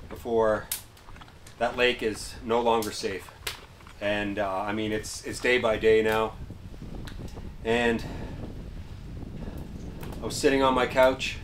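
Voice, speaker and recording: A man's voice: mid-range voice, a little hiss or hum. A man in his thirties talking casually to camera in short, plain remarks, like an outdoor vlogger in a tent. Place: small canvas tent with wood stove.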